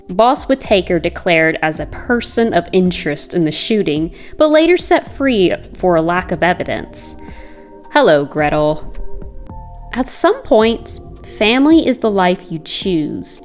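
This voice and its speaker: Lady doing young superheroine inner monologue, slow and measured, wry, determined, soft music under it. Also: on the low side, big pitch swings. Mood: pensive, determined. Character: determined young crime-fighting heroine, wry and guarded. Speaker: comic dub voice actor. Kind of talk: dramatic narration and dialogue. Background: background music.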